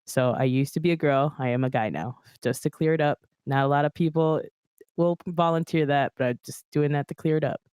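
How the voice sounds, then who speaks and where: Man, high tenor, smooth clear tone, dry close sound; earnest livestream co-host. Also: steady tone, fairly quick, darkish tone, no room sound. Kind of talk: casual group livestream chat.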